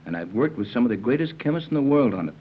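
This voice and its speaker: A man playing a resolute captain and scientist in an old-time radio serial, measured and authoritative. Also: mid-range voice, very animated, lightly acted. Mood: confident, measured. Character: steady, resolute captain and chemist, grown man. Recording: muffled, noisy vintage radio recording with hum.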